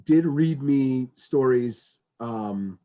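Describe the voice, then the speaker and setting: A man's voice: medium pitch, expressive, drawn-out words, warm tone. A middle-aged man co-hosting a comedic podcast, casual and sweary, repeating words as he feels his way through sentences. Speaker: podcast co-host.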